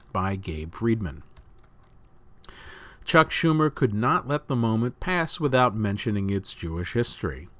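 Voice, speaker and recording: A man reading scripted news as a host, with a measured, deliberate announcer delivery. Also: mid-range voice, a bit bright, no room sound.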